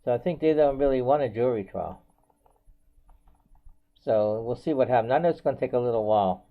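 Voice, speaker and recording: A man's voice: mid-range voice, fairly quick. Older American man, dull lo-fi recording. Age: 70s. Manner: rambling, repetitive, often says "you know". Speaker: video blogger. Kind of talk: unscripted casual monologue to viewers. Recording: dull, band-limited home video audio.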